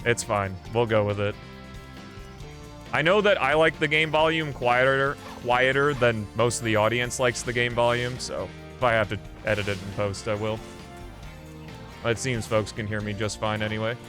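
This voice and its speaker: A man streams a video game and talks through it casually. His voice sits at a middle pitch, and he rambles in an offhand way, thinking aloud. Faint game music plays behind him. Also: steady tone, normal speed, a bit bright. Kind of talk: casual live gameplay commentary.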